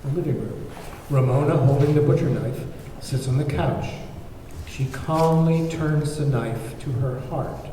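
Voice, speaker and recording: A man's voice: mid-range voice, a little slow, mellow. A man reading scene descriptions and stage directions as narrator of a screenplay table read. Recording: very echoey hall, distant mic, faint noise.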